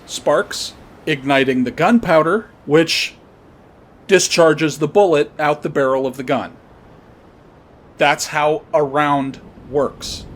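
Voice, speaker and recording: Emphatic higher-voiced man, noisy recording. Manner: emphatic, repeats and spells out points. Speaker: podcast host commentator. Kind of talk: opinionated monologue commentary.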